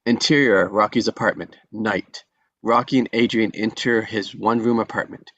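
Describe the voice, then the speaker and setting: Low-voiced man reading stage directions. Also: steady tone, moderate pace, a bit bright, no echo, highs cut off. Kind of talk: reading script action aloud.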